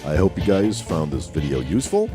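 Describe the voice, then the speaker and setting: A man's voice: low-pitched, huge pitch swings, a little fast, music in the background. A man presenting an instructional video in scripted narration.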